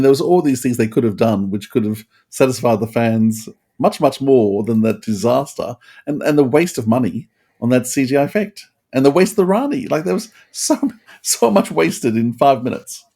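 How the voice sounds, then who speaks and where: A man's voice: medium pitch, moderate pace, mellow. A man co-hosting a podcast, chatting casually as an enthusiast. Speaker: podcast co-host.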